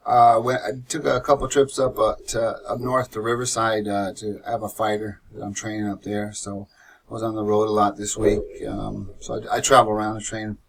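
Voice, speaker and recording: A man's voice: on the low side, flat delivery, a little fast, creaky, distant mic, a little hiss or hum. A man in his late thirties chatting casually as a podcast guest. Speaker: returning podcast guest, fight trainer. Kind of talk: casual chat.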